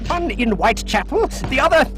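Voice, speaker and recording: An actor playing an excitable, fussy older male professor in a video game. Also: very high-pitched, fairly quick. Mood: urgent. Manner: excitable, urgent. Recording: game cutscene with music behind.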